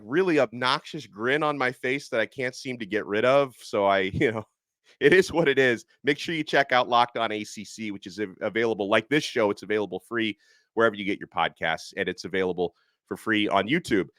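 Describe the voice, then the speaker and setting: A man hosting a sports podcast, talking fast and excitably with plenty of ums and uhs. His voice is a little hoarse and sits at a middle pitch. The sound is dry and close, with no room around it. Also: no echo. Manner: animated, excitable, with frequent fillers. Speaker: podcast host. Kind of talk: casual sports podcast talk.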